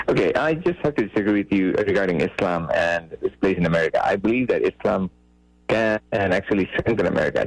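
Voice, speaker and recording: A man from Bangladesh speaks as a caller on a radio show. His voice is very low and creaky, with a dry sound. He explains himself earnestly, in a measured and halting conversational way. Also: no room sound, rough recording. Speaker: radio call-in guest. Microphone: call-in line.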